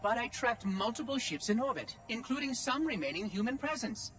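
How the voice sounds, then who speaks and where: High, prissy ancient machine oracle. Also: male voice, animated, fairly quick, sharp tone, heavily acted. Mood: officious, urgent. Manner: prissy, precise, officious. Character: fussy, prim, ancient machine oracle, guardian of an installation. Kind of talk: game cutscene acting. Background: music.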